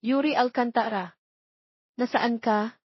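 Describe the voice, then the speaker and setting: Woman playing earnest young mother, husky and pleading. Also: on the high side, fairly even intonation, a little slow, dry recording, muffled recording, voice pushed up. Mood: desperate pleading. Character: Lina, emotional young mother pleading for love. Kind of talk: dramatized storytelling dialogue.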